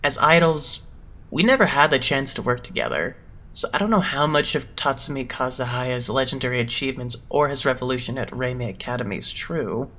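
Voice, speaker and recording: A man voicing a composed, eloquent young male idol leader in an anime fandub, measured, polite and a little pompous. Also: mid-range voice, sharp tone, close to an everyday voice. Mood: smooth, assured. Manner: measured, pompous, smoothly condescending. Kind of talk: anime-style fandub voice acting.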